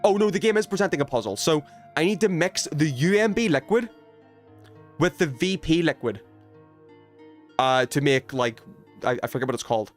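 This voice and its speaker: A man doing casual, excitable gaming commentary, thinking aloud in slang as he chats with stream viewers. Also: fairly high pitch, normal speed, long pauses, faint music behind. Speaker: video game livestreamer.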